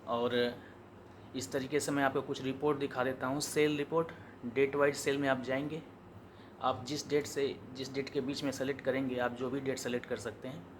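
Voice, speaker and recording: An Indian man giving an informal step-by-step software tutorial, explaining as he goes and repeating his instructions. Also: mid-range voice, little pitch movement, normal speed, slight background noise. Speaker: software demonstrator.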